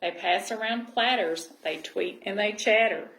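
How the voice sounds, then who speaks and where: A woman reading a children's picture book aloud and pausing to explain with tag questions. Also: medium pitch, fairly bright tone. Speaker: library storyteller. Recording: roomy echo, clear background noise.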